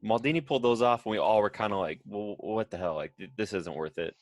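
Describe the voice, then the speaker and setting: Very deep-voiced man, dry close sound. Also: fast talker, no echo. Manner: blunt, joking one-liners. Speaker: podcast co-host. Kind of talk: casual football podcast chat.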